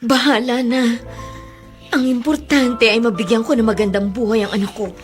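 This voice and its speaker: Radio drama casting: actress as self-sacrificing mother, resolute and earnest, fairly high voice over faint music. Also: fairly even intonation, moderate pace, close to an everyday voice. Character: determined, self-sacrificing mother bound for work abroad. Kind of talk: Filipino radio drama acting.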